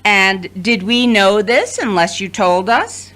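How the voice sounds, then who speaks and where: A woman's voice: mid-range voice, expressive, takes it slowly, fairly bright tone. A woman acting a swooping, domineering mother. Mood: pointed, coaxing. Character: domineering, wry mother of adult children.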